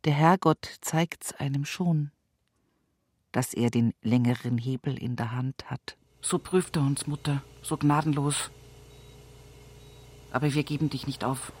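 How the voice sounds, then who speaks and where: An actress playing an earthy, stubborn, devout widow from the village in an intimate radio monologue, with a husky, breathy voice and an Upper Palatinate Bavarian accent. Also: female voice, a bass voice, steady tone, a little slow, darkish tone, dry recording, exaggerated voice. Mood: grieving. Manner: earthy, folksy storytelling at a bedside. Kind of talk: intimate radio drama monologue in rural vernacular.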